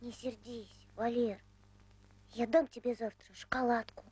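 A woman's voice: fairly high pitch, flat delivery, a little slow, a little mellow, breathy edge, no echo. An actress voicing a small, curious and earnest boy in a cartoon, with a childlike, inquisitive manner. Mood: conciliatory. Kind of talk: animated film dialogue.

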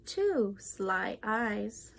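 A woman's voice: mid-range voice, sing-song, very slow, vocal fry, a little hiss or hum. A young adult woman, a children's librarian, hosting and reading aloud a storytime for children.

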